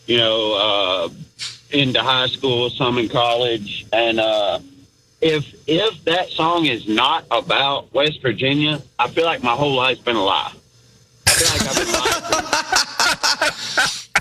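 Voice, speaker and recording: A man in his thirties calling in to a sports show, speaking haltingly with many fillers. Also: medium pitch, lively intonation, bright, forceful tone, slight background noise, low-quality recording. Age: 30s. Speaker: caller. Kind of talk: call-in comment.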